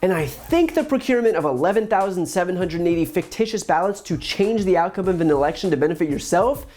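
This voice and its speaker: A man's voice: sits high, animated, quick pace, darkish tone, faint music behind. A man in his thirties with an American accent, giving scripted political commentary to camera in an emphatic way with sarcastic asides, on a lapel mic. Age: 30s.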